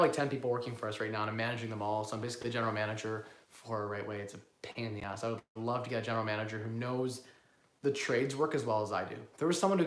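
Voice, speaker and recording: Hoarse man on a live stream. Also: medium pitch, reverberant. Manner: rambling, self-correcting, repeats words. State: hoarse from a cold. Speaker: host of live investing Q&A. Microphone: phone built-in mic, no lapel.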